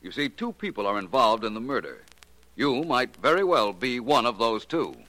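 An actor playing a cool, shrewd gentleman detective in a 1940s radio drama, with an urbane, wry, evasive manner. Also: mid-range voice, sing-song, moderate pace, close to an everyday voice. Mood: cool, probing. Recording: noisy old radio recording with clicks.

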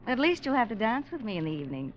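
An actress playing a flirtatious, self-assured ship passenger in a 1940s radio drama, breezy and teasing. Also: female voice, a little high, big pitch swings, very fast. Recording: muffled, noisy old radio recording.

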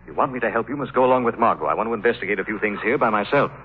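An actor playing a calm, confident gentleman detective in an old radio drama, brisk and polished. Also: fairly low pitch, lightly acted. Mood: assured. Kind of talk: 1930s radio drama acting. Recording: tinny old radio broadcast.

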